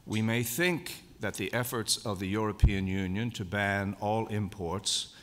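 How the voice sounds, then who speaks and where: A man giving a formal, measured lecture at a convention, speaking deliberately and stressing key words. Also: fairly low pitch. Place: lecture hall. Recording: long hall reverb.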